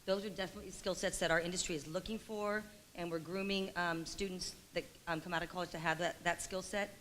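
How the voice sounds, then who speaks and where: A woman answering a panel question as an industry recruiter, in a steady, encouraging way. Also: on the low side, quick pace, a little hiss or hum.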